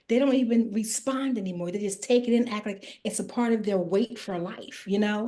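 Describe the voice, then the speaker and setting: This is a woman guest on a video call who speaks with earnest encouragement. Her voice sits at a middle pitch, and she talks in heartfelt, blessing-filled phrases. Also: a little mellow.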